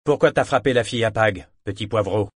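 Un homme faisant un gaillard de taverne bourru. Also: male voice, on the low side, fairly even intonation, moderate pace, dry recording, studio quality, close to an everyday voice. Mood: gruff. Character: gruff booted tavern man, blunt and scolding.

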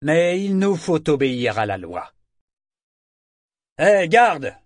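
A man doing a gravelly, cruel slave broker. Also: a little high, very animated, a little mellow, no room sound, clean studio sound, pitched above the performer's own voice. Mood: insidious. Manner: fast, biting false politeness. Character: small agile male slave broker, mock-affable and cruel. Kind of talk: audiobook character reading.

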